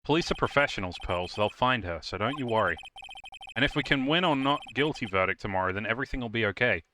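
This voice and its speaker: Man playing an earnest low-voiced young attorney. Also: expressive, quick pace, noisy background, close to an everyday voice. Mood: earnest, pondering. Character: earnest young male defense attorney, thoughtful and reassuring. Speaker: streamer dubbing a video game live. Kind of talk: video game dialogue read-through.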